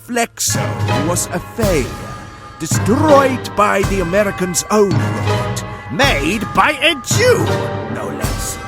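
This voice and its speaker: An actor playing a cold, imperious Nazi villain commander in an audio drama, slow and contemptuous. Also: male voice, high voice, very animated, exaggerated voice. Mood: cold contempt. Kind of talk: cinematic audio drama acting. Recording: dramatic music bed under voice.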